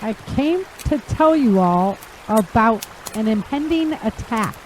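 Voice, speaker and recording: A woman's voice: fairly high pitch, expressive, measured pace, a little mellow, slight background noise, low-quality recording. A woman playing a wary, determined young traveler, earnest and firm, in an audio drama.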